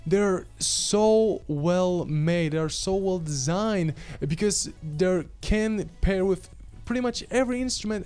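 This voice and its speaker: A young man, reviewing a gadget to camera in a casual, animated, self-mocking way, holding a handheld mic in a small room at home. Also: sits high, clean tone. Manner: chatty, animated, self-mocking, gestures while talking. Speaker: tech reviewer. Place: small home room with audio gear, indoors.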